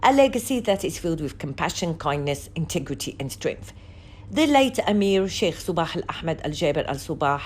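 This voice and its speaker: A woman reporter reading a scripted news report in a formal, measured and emphatic manner. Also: deep voice, darkish tone, slight background noise.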